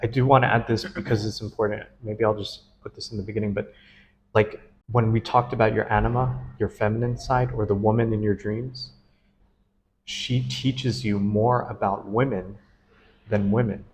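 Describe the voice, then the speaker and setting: A man's voice: on the low side, level tone, normal speed, slight background noise, low-quality recording. A man, a dream analyst, interviewing a client in an earnest, enthusiastic and easily laughing way. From United States. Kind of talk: casual interview.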